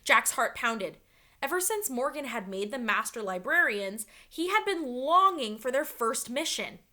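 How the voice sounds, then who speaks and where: A woman reads a children's story aloud in a teacherly, dramatic way. Her voice is bright and fairly high, with very lively intonation. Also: a little slow.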